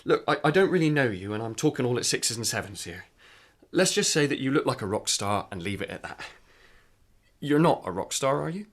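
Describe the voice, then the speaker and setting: Hesitant, kindly man, awkward, rambling, lively intonation, naturalistic radio play. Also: medium pitch, brisk. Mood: awkward, curious. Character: awkward, curious, kindly small-town man. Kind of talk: naturalistic radio drama acting.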